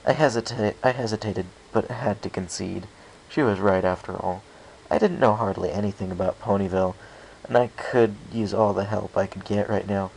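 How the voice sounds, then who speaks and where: Male amateur story reader, mid-pitched, creaky, expressive narration over faint background noise. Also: normal speed, rough recording. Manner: stumbles and rereads phrases. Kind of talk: first-person storybook narration.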